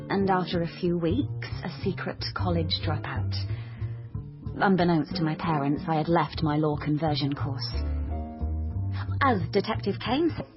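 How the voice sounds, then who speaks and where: A woman's voice: sits low, muffled recording, close to an everyday voice. An actress playing a naive young woman who dreams of being a noir detective, earnest, awkward and self-deprecating in a radio drama. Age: young adult. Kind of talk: radio drama acting and confiding narration.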